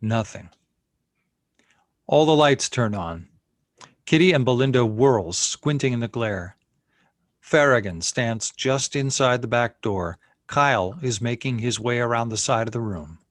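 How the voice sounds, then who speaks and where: A man's voice: medium pitch, animated, measured pace, no echo, clean studio sound. A man reading scene headings and stage directions as narrator at a screenplay table read.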